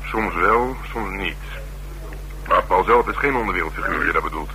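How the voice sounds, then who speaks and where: An actor playing a streetwise, sardonic middle-aged police detective in a Dutch radio drama, talking bluntly and colloquially with dry teasing. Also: male voice, sits low, expressive, normal speed, sharp tone. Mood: matter-of-fact. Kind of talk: naturalistic radio drama dialogue. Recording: humming, noisy old radio broadcast.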